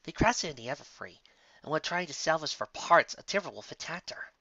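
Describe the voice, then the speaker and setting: Man as a soft, wry young unicorn mare. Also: fairly high pitch, expressive, brisk, no room sound, muffled recording, voice pushed up, heavily acted. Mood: matter-of-fact.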